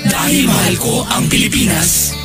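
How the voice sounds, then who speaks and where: A woman's voice: high-pitched, expressive, moderate pace, loud music behind the voice. A woman reciting a patriotic pledge, at times close to singing. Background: loud musical backing.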